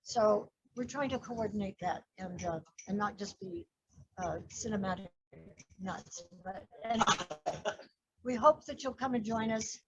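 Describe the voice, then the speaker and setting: An older woman teaching a film class, chatty and soft-spoken, introducing her course with small self-mocking laughs. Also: mid-range voice, unhurried, lo-fi sound.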